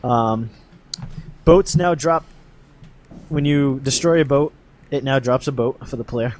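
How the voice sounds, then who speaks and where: A man hosting a podcast, casually explaining game update news in a halting, hedging way. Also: mid-range voice, a little hiss or hum.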